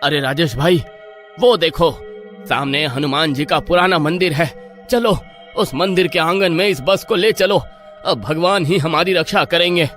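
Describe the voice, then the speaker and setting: A man's voice: on the high side, measured pace, fairly bright tone, faint music behind. A man voicing a panicky comic young villager who wails and shrieks at every danger, in a horror-comedy cartoon. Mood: urgent.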